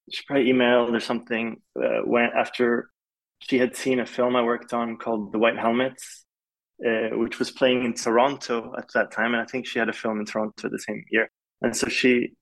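A man's voice: medium pitch, low-quality recording. A young man, a film composer raised in Malaysia, talking casually in an interview, rambling with lots of fillers. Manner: relaxed, rambling with many "like" fillers.

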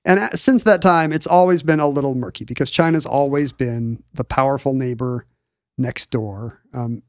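A man's voice: mid-range voice, moderate pace, no echo, studio quality. A man in his forties explaining history in casual conversation, rambling and often searching for the right word. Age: 40s. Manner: rambling, searches for words mid-sentence.

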